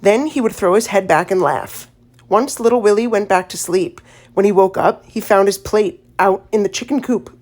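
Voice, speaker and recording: A woman's voice: mid-range voice, moderate pace. Woman narrating a children's audiobook. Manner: expressive storytelling. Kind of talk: scripted children's story narration.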